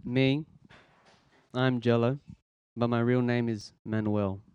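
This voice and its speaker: A man, hosting a casual faith podcast in an earnest, halting way with many restarts. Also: mid-range voice, fairly even intonation, darkish tone, clear, resonant tone, dry recording, muffled recording. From Philippines, raised in Australia. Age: 20s. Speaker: podcast host. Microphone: podcast microphone.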